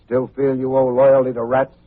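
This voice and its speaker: An actor playing a tough, wry but good-hearted private detective in old-time radio style, with a hardboiled, wisecracking manner. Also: male voice, medium pitch, completely flat delivery, moderate pace, close to an everyday voice. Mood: firm. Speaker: lead actor and narrator. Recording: muffled old radio recording, music behind.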